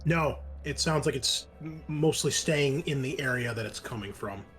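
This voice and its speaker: A man's voice: medium pitch, moderate pace, fairly bright tone. Man game master over background music. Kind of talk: narrating a tabletop roleplaying game.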